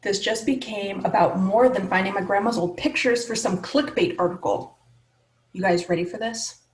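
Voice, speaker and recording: A woman's voice: mid-range voice, normal speed, natural voice. Woman acting a determined young team leader. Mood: grave, resolved. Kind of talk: screenplay table read.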